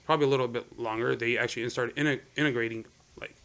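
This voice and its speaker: A man raised in Seattle, whose first language was Romanian, chats on a podcast at a rapid clip. His voice is bright and forward. He rambles, leaning on "you know" as he builds his points. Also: medium pitch, steady tone. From Seattle, United States. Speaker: podcast guest. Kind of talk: casual podcast conversation.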